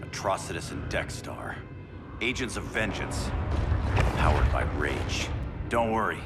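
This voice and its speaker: A man's voice: sits low, lively intonation, measured pace, gravelly, exaggerated voice. An actor playing a wry, guilt-haunted but determined male superhero in a video game. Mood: calm, resolute. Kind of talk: dramatic video game acting. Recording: loud music behind.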